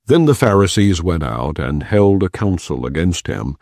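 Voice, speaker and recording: A man giving a solemn, measured reading of scripture in archaic language. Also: low-pitched, sing-song, a little mellow, no echo, clean studio sound. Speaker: Bible reader.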